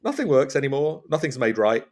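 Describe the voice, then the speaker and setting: A man's voice: mid-range voice, big pitch swings, a little fast, a little mellow. A man guesting on a comedy podcast, riffing in a rambling, mock-flustered, absurd way. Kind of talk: comic riffing and banter.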